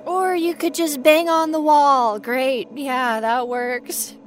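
A woman's voice: sits high, fairly even intonation, takes it slowly, slight background noise. A woman playing a frightened, wry young ghost researcher in an audio horror monologue, rambling nervously to herself. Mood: panicked, sarcastic. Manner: rambling nervous self-talk, sardonic, often dropping to whispers.

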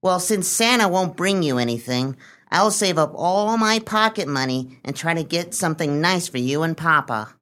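A woman's voice: fairly low pitch, expressive, moderate pace, fairly bright tone. A woman voicing a kind, earnest young boy in a comic radio play.

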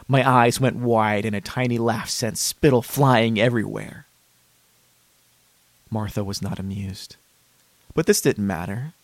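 Man voicing an eerily calm horror narrator, dry close sound. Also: lowish voice, no room sound. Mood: eerie, dreamy. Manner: measured, dramatic pauses. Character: male patient narrator of a horror tale, eerily calm and dreamy. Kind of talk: scripted horror story narration.

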